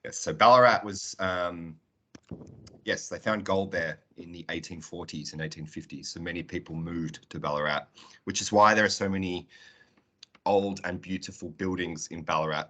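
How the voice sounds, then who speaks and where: A very low-pitched man runs an online class roll call. He is friendly and chatty, teasing students lightly between introductions. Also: steady tone, moderate pace, fairly bright tone. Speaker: English teacher.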